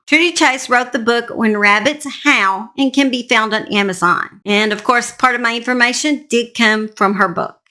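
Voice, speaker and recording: An older woman from a true-crime channel speaks with a Southern US accent. Her voice is bright and sits slightly high. She tells stories casually, in a folksy, emphatic way.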